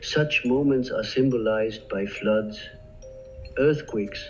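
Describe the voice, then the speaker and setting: A man giving slow, solemn scripted narration with measured pauses. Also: medium pitch. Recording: dull lo-fi with faint music. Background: faint background music.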